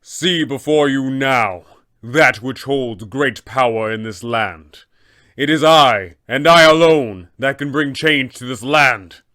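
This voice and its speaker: A man voicing a booming fallen angel abbot. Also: bright, forceful tone. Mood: grandiose. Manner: slow, booming, proclamatory delivery. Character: fallen angelic male abbot, solemn and grandiose.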